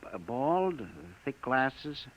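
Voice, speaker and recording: An actor playing an earnest, excitable bureau employee in a 1950s radio drama, hesitant and stammering when pressed. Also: male voice, mid-range voice, slow pace, slight background noise. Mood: nervous, deferential. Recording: muffled vintage radio recording.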